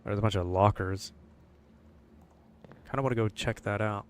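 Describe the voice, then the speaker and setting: A man's voice: on the low side, steady tone, normal speed, slight background noise. A man streaming a horror game, grumbling casually and swearing, wary of what lies ahead. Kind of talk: casual livestream commentary.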